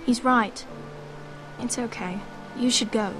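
Gentle worried noble girl, high voice, reassuring, video game drama, music behind. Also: slow pace.

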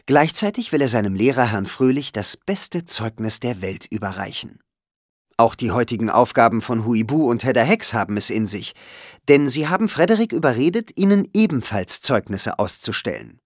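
A man's voice: medium pitch, very animated, moderate pace, no room sound, clean studio sound. A man narrating a scripted children's audio play. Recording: music under the voice. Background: music.

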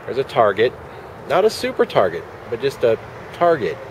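A man's voice: medium pitch, wildly swooping pitch, moderate pace, poor audio. A man in his forties giving dry, rambling commentary to a handheld camera outside a shopping center. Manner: dry, wry running commentary, muttered asides. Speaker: vlogger. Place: outdoors at a shopping center parking lot. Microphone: handheld camera at arm's length. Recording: handheld camera outdoors with traffic noise. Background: traffic and outdoor ambience.

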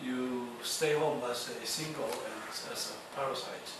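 A man delivers a university lecture in a halting way, full of pauses and restarts. His voice sits at an ordinary middle pitch. It rings around a very echoey hall and is caught by a distant mic over a steady hiss. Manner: halting, many fillers and restarts. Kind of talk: classroom lecture.

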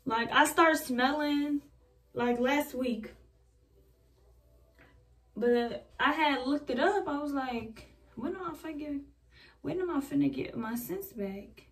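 Young adult woman vlogger, rambling casual chat, slightly high voice, picked up from a few feet away by the camera. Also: takes it slowly, long pauses, a bit bright. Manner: rambling, chatty, talks while styling her hair. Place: small bedroom indoors. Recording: voice a bit far from the mic.

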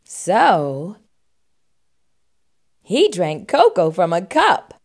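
A middle-aged woman hosting storytime, reading a children's picture book aloud with expressive narration. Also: fairly low pitch, extremely slow. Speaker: storytime host.

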